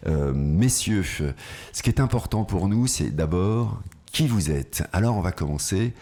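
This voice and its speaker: Host: man, very low and husky voice, lively, friendly radio chat. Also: measured pace. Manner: friendly, asks short questions, laughs often. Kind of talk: casual radio interview. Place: radio studio.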